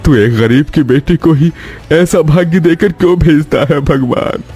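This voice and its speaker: A man's voice: a little high, measured pace, warm tone, faint music behind, close to an everyday voice. Plaintive poor farmer father, acted by a man. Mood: sorrowful, anxious. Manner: plaintive, pleading. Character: poor, worried, sorrowful older farmer father. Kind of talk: Hindi cartoon story acting.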